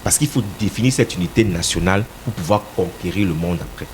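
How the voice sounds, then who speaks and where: A man speaks in a fairly deep register, pressing his points with insistence as he answers an interviewer. He sometimes stumbles and repeats words as he searches for the next one. A faint hiss sits under his voice. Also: expressive, fast. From Cameroon. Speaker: political party representative.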